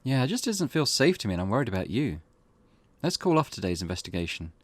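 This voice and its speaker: A man's voice: medium pitch, sing-song, fast talker, no echo. A man reading a wary young protagonist. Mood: firm. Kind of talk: reading game dialogue aloud.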